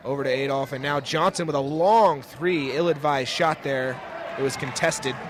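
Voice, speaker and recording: A young American man calling high school basketball as a live radio play-by-play announcer. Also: moderate pace. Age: young adult. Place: indoor high school gym, courtside. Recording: noisy gym ambience. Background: bouncing basketballs, gym noise.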